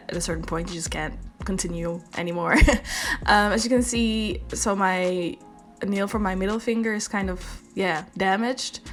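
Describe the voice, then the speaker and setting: Chatty Dutch-accented woman vlogger. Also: fairly low pitch. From Netherlands. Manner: chatty, self-deprecating, many ums. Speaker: beauty vlogger. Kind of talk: casual tutorial voice-over.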